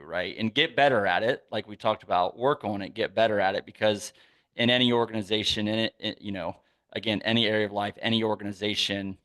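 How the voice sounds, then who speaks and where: Lowish-voiced American man hosting a podcast. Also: fairly bright tone. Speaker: podcast host. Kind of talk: casual conversational interviewing.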